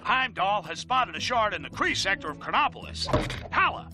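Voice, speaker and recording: A man playing a blustery, excitable newsman in a cartoon video game, bombastic and sarcastic in his commentary. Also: high voice, normal speed, background noise. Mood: sardonic, excited. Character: blustery, excitable male newsman ranting on a live stream. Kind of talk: theatrical news commentary, cartoon game acting.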